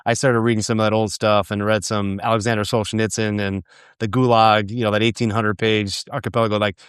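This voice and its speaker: Smooth-voiced male podcast host. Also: lowish voice, flat delivery, a little fast, no room sound, studio quality. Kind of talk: casual interview chat.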